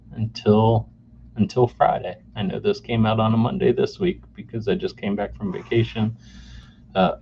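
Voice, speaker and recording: A man in his forties hosting a solo podcast off the cuff, halting with many fillers and restarts, recorded on a camera mic indoors. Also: lowish voice, creaky. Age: 40s. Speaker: podcast host. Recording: dull lo-fi camera mic sound with faint noise.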